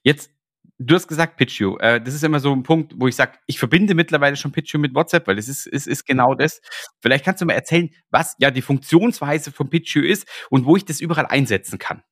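A man's voice: on the high side, fairly quick, a bit bright, no echo, clean studio sound. A man hosting a podcast interview, talking with enthusiasm and plenty of fillers and restarts. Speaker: podcast host.

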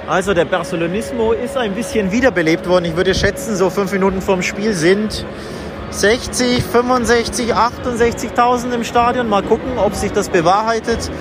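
A high-pitched male voice reports live from the stands of a big football stadium, with music and crowd sound around him. He is a podcast host, chatting informally and describing the match as it unfolds. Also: moderate pace. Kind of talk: live on-site football commentary, casual. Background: stadium crowd noise and music.

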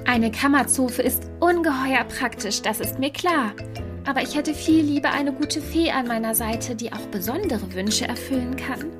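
A woman's voice: high voice, normal speed, over music, lightly acted. A woman playing a dreamy, romance-struck young princess in a fairy-tale radio play, gushing and politely insistent.

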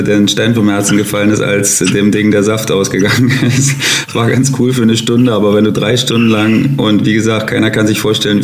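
A man with a low, gravelly voice tells stories about his team in a flat, even tone. He speaks emphatically and keeps restarting his sentences. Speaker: podcast co-host, footballer. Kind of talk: casual sports podcast chat. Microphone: phone line.

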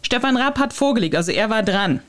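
A woman hosting a podcast, retelling anecdotes in a casual, giggly and animated way. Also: fairly high pitch, fast. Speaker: podcast host.

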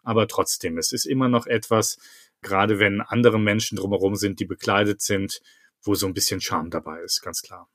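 A low-voiced man speaks informally and reflectively, as an interview guest would. The sound is dry, with no room around him, and his delivery is conversational and personal. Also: steady tone, normal speed, a bit bright, no room sound.

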